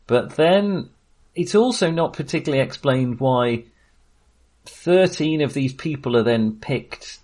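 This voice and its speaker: A man's voice: medium pitch, animated, unhurried, a little mellow. A male podcast co-host talks casually about film in a mid-range voice. He meanders and hesitates as he goes, and tends to chuckle along the way.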